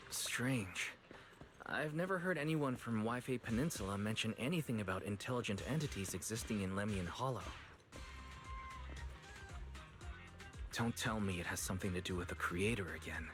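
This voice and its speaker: Give a calm, sensible young man voiced by an actor in an anime video game. His voice sits fairly low and he talks reasonably, like a level-headed agent for hire. Music plays clearly underneath. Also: fairly quick, natural voice. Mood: puzzled.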